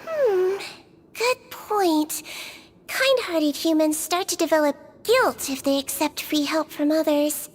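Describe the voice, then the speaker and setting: A woman voicing a small, kind, unfazed nonhuman head nurse in a video game, gentle, patient and playfully matter-of-fact. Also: high-pitched, slow, slight background noise. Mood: playful, thoughtful. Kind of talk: English video game voice acting.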